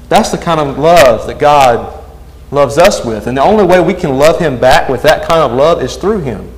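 A man's voice: fairly high pitch, rough recording. Earnest man preaching a sermon. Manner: earnest, emphatic, asks the congregation "right?".

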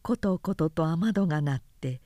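A woman reading a literary story aloud as a narrator, in a measured and deliberate way. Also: low-pitched, animated, dark tone, no room sound, studio quality.

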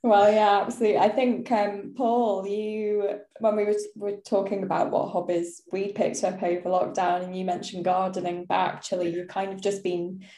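Mellow-voiced woman hosting in an echoey office. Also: medium pitch, flat delivery, moderate pace, few pauses. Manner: friendly, steers the talk with questions. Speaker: podcast host. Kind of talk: casual podcast interview.

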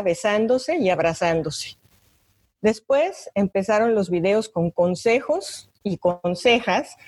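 A woman's voice: medium pitch, darkish tone. An older woman with a Mexican accent, a guest artist reading her prepared reflections aloud at an online talk. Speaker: invited panelist, visual artist.

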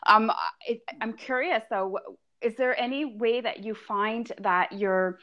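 A woman's voice: a little high, a bit bright, dull audio. Affirming woman interviewer. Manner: affirming listener, halting questions with restarts. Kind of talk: casual interview.

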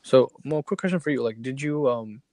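A man's voice: mid-range voice, brisk, a little mellow, no room sound. A man in his twenties co-hosting a casual podcast, upbeat and joking as he asks the guest questions. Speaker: podcast co-host.

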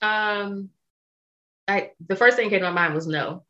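A woman's voice: mid-range voice, moderate pace, a bit bright, muffled recording. A woman in her thirties with an American accent, talking candidly and emphatically in an interview and cussing freely. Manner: frank, emphatic, cusses freely. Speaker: guest, sommelier and entrepreneur.

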